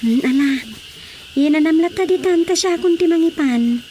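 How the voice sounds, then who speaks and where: Dutiful youngster at home, ilocano radio drama, super high pleading voice. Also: female voice, normal speed, mellow, a little hiss or hum. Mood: scolding.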